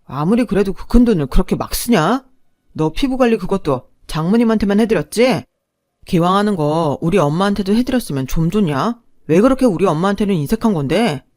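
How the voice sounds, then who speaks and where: A woman's voice: fairly low pitch, lively intonation, moderate pace, lightly acted. A woman voicing a resentful young husband who complains defensively. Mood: drunk, sulky. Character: sulky resentful husband, young adult man.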